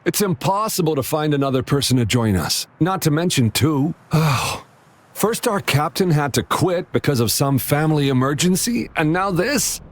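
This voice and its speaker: A man's voice: on the high side, lively intonation, moderate pace, natural voice. A man voicing a despairing, easily discouraged young relay athlete in a video game, with a whiny, dejected manner. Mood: dejected, frustrated. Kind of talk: video game character dialogue.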